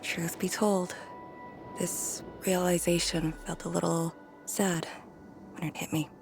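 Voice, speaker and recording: An actress voicing a melancholy, quietly resolute young woman in a game, breathy, subdued and reflective. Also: on the low side, flat delivery, takes it slowly. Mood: wistful, reflective. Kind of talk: scripted game voice acting. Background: prominent music.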